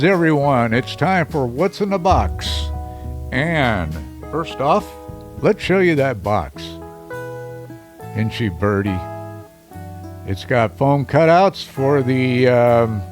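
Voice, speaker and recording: Chatty deep-voiced man reviewing a mic. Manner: chatty, loose, with frequent fillers. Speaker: microphone reviewer. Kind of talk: casual product review to camera. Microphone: studio microphone under test.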